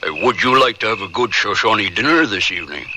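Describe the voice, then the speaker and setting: An actor playing a proud, teasing older Shoshone man in a radio drama, speaking in deliberate, formal phrases. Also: lowish voice, lively intonation, background noise, close to an everyday voice. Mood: dry, teasing.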